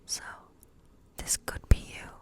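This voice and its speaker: A woman playing a kindly witch in an ASMR fantasy role play, whispering gently and musingly as she reads tarot, often repeating her phrases. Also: slow, natural voice. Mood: gentle, musing. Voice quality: breathy, unvoiced whisper. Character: kindly woodland witch reading tarot for a guest. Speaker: ASMR performer.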